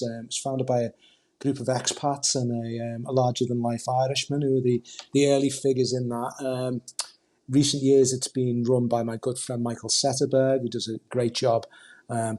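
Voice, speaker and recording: A man's voice: mid-range voice, dark tone. A man from Liverpool with a Liverpool accent, chatting casually and in a rambling way as an interview guest. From Liverpool, England. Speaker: podcast guest, football supporters' club member.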